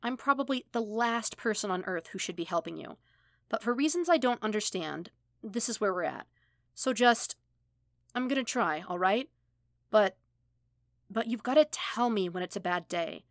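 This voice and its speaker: Lady reading as a steady mentor guy, blunt n casual but protective, dry close recording. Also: mid-range voice, moderate pace, no echo, close to an everyday voice. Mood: earnest, protective. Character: gruff but caring male mentor, casual, reassuring billionaire.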